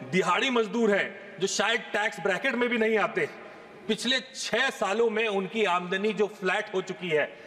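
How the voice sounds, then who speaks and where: A man's voice: high voice, a bit bright. A man in his thirties giving an emphatic parliamentary speech full of rhetorical questions, speaking into a desk microphone in a large chamber. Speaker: member of parliament. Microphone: fixed desk microphone. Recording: long hall reverb from chamber.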